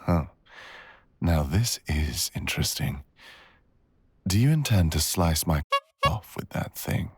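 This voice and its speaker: A man playing a cruel, arrogant dragon villain in an intimate ASMR roleplay, gravelly and breathy, with a menacing, teasing drawl that slips into whispers. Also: low voice, very animated, slow pace, pauses often, darkish tone, slight background noise, full character voice. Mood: amused, taunting.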